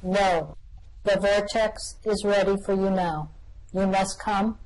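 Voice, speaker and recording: A performer playing a calm, bodiless custodian of a machine with a slow, deadpan, matter-of-fact delivery in an amateur radio-play reading. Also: medium pitch, lightly acted, rough recording.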